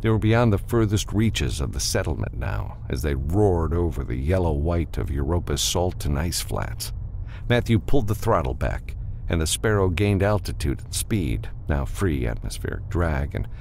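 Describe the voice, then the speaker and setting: A man's voice: sits low, very animated, normal speed, vocal fry, darkish tone, a little hiss or hum. A man reading a science fiction novel as scripted audiobook narration.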